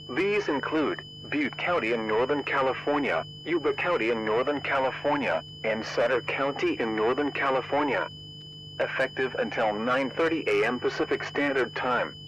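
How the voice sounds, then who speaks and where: A man's voice: medium pitch, animated, moderate pace, noisy background, natural voice. A man playing a grave state alert announcer, reading an official bulletin in a formal, list-like way. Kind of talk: scripted emergency broadcast.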